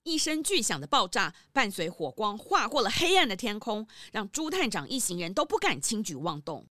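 A woman's voice: mid-range voice, very animated, moderate pace, background noise. A woman narrating a scripted children's detective story.

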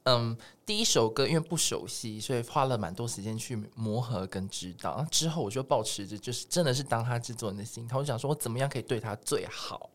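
A man with a Taiwanese Mandarin accent chatting casually as an interview guest, in an animated manner. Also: medium pitch, fast talker, few pauses. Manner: animated, breaks often into laughter. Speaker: podcast guest, music producer.

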